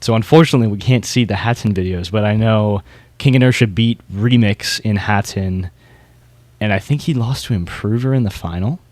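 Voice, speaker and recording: A man's voice: lowish voice, a little fast, a little mellow, no room sound. A man hosting a podcast, chatting casually about a competition scene. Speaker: podcast host.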